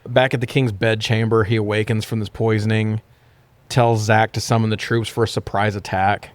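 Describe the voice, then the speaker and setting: A man's voice: lowish voice, flat delivery, moderate pace, no echo. Irreverent, swearing man in a dry room. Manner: irreverent, heavily profane. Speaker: podcast co-host. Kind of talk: casual comedic movie recap.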